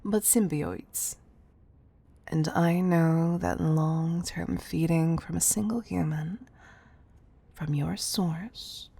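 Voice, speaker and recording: A woman playing a stern, commanding chief executive in a scripted audio roleplay, exasperated and deliberate in her emphasis. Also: sits low, creaky, warm tone, slightly rough, exaggerated voice. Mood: measured, lecturing. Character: stern, commanding female CEO scolding an employee.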